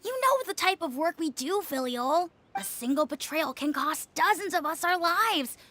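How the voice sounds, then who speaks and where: A woman's voice: very high-pitched, lively intonation, moderate pace, bright, forceful tone, full character voice. A woman playing an eerily cheerful, loyal young child informer in a video game, matter-of-fact about cruel things. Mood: earnest, firm.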